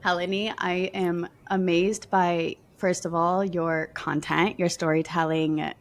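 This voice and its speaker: Effusive woman podcast host, lower-pitched voice. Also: level tone, takes it slowly. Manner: effusive, emphatic. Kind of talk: casual interview chat.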